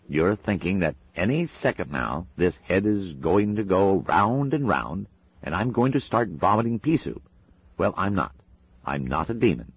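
A man's voice: low-pitched, vocal fry, breathy edge, no room sound, highs cut off, pitched above the performer's own voice, full character voice. A man doing Aziraphale, a prim fussy angel. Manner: prim, polished, politely reasonable. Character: fussy, genteel male angel speaking through a woman.

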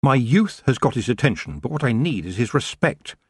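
A man's voice: medium pitch, very animated, a little fast, breathy edge, dry recording, studio quality, pitched above the performer's own voice. A man voicing a proud young female witch who speaks firmly and with scorn. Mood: earnest. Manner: firm, rising to scornful.

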